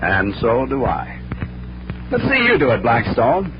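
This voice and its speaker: An actor playing a baffled, good-natured sidekick who asks curious questions in an old radio drama. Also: male voice, mid-range voice, huge pitch swings, unhurried, music in the background. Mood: amazed, curious. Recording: noisy, muffled old radio recording.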